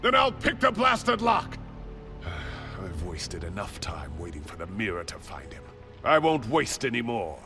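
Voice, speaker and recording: An actor playing an arrogant, impatient rich bully in a video game, with a British accent and a sneering, condescending manner. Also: male voice, on the low side, huge pitch swings, measured pace, sharp tone, faint music behind, full character voice. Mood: angry, impatient.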